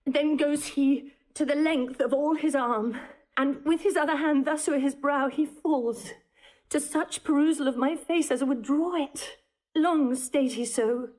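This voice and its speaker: A woman's voice: high voice, steady tone, unhurried, a little mellow, low-quality recording. An actress playing a frightened, obedient young noblewoman, breathless and distressed, in a classical Shakespearean audio drama. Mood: shaken, wondering.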